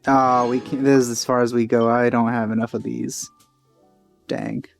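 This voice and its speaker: A man's voice: medium pitch, flat delivery, normal speed, gravelly. A man giving casual let's play commentary, informal and musing to himself as he goes. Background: faint game music.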